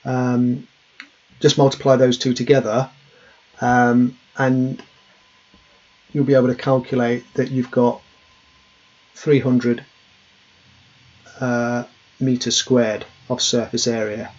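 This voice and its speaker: A man's voice: mid-range voice, flat delivery, takes it slowly, pauses often, darkish tone. A man in his thirties, a safety trainer, plainly explaining a worked example with frequent ums and uhs from a small office. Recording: dull, lo-fi webcam audio.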